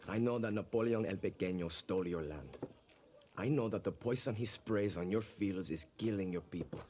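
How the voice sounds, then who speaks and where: A man voices a level-headed young guerrilla fighter in a game. His low voice stays calm and even, touched with dry humor, over faint background noise. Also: normal speed, lightly acted. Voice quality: calm and even, lightly wry. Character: steady young male guerrilla recruit, calm, wry.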